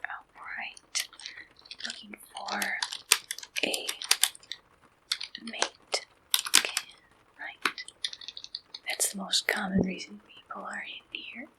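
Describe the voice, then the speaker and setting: A woman softly performing an ASMR roleplay as a receptionist, gentle, reassuring and unhurried, in a breathy whisper close to the microphone. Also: medium pitch, fairly even intonation, low-quality recording.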